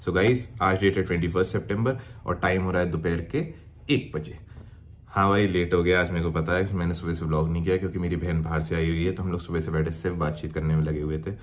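A man's voice: low-pitched, steady tone, fast. Chatty young vlogger man. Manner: chatty, friendly, sprinkles English phrases, calls viewers bhai and yaar. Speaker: fitness vlogger. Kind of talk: casual vlog chat to camera. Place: indoor rooms, a gym and a bedroom. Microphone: handheld phone selfie and fixed camera. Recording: roomy echo, faint ambient noise.

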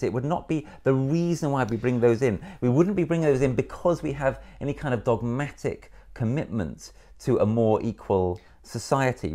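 A middle-aged man talks in an interview with a mellow, dark tone. His pitch moves around a lot as he makes his points emphatically, with hesitations. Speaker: activist spokesman being interviewed. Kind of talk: conversational interview answer. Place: indoor sitting room with bookshelves.

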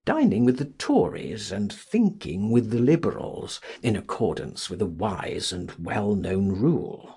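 Guy doing dramatic audiobook narration, slow n husky, very theatrical. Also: fairly low pitch. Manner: theatrical, expressive, deliberate. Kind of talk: dramatic scripted literary narration.

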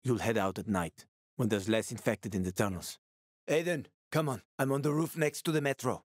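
A sly middle-aged smuggler from a video game, played by a male actor, with a mid-pitched man's voice in a very dry recording. He talks casually and teasingly, like a streetwise survivor who always has a wisecrack ready. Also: normal speed, dry recording, close to an everyday voice. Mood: matter-of-fact. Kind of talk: video game voice acting, conversational.

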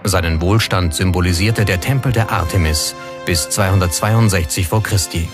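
Low-voiced male documentary narrator over music. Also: moderate pace. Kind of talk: scripted narration. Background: background music.

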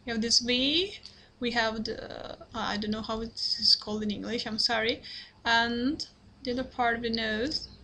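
A young woman from Italy with an Italian accent, talking through a drawing tutorial in halting English, apologetic and full of fillers and restarts. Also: fairly high pitch, fairly even intonation, pushed and bright, a little hiss or hum. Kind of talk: hesitant screen-recorded drawing tutorial. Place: at a computer, indoors.

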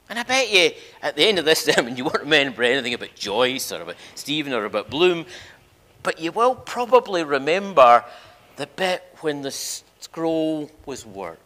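An older man preaching as a minister, telling a story emphatically with dramatic pauses and repeated phrases in a large church. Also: fairly high pitch, bright, forceful tone. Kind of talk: sermon, storytelling to a congregation. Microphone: lectern microphone. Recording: reverberant church hall.

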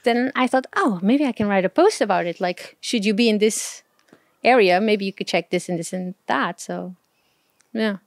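A woman in her thirties with a Portuguese accent talks casually as an interview guest. She speaks softly and pauses often, with frequent small laughs. The sound is close and dry, with no room around it. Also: medium pitch, no room sound. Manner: soft-spoken, hesitant, laughs often. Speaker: guest, travel blogger.

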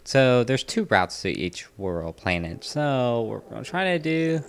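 A young man hosting a let's-play, chatting in a rambling, casual way and talking back to the enemies on screen, keeping his naturally loud voice down at home. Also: medium pitch, lively intonation, unhurried, creaky, slight background noise. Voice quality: loud voice held down to a murmur. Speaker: gaming channel host. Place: home room next to a neighbor's bedroom. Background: faint game music.

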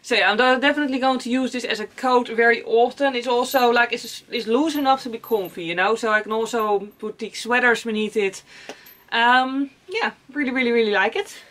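A woman's voice: on the high side, brisk, fairly bright tone. A young woman vlogger chatting casually about her clothes at home, rambling a little with many fillers and repeated words. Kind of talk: casual haul vlog chat. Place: cluttered attic room at home.

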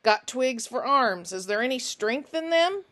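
A woman voicing a large, menacing stranger who puts on a false friendliness and talks loudly and mockingly. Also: a little high, lively intonation, unhurried, voice pushed up. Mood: coaxing. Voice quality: intelligent but unfriendly voice. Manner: loud, projecting, mocking.

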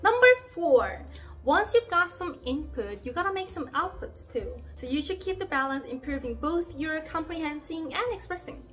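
Young woman, Korean accent, high clear voice, playful upbeat teacher, soft music underneath. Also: animated, normal speed. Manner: cheerful and playful, with emphatic exclamations. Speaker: language teaching vlogger. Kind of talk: upbeat direct-to-camera lesson. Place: indoor room by a plain wall.

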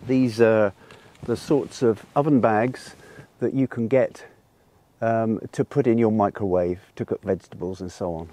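A man's voice: on the low side, fairly even intonation, a little slow, dark tone. An older man with a lapel mic, explaining a demonstration outdoors in a garden in a patient way, with frequent ums. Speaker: solar cooking presenter. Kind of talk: unscripted demonstration.

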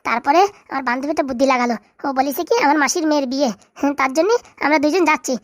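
A woman's voice: high-pitched, rapid-fire, dry recording, studio quality, lightly acted. A woman voicing a sly, bossy young girlfriend in a comic cartoon, glib and colloquial with a Bengali accent. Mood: glib, cajoling. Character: sly, bossy young woman scheming to fleece her boyfriend.

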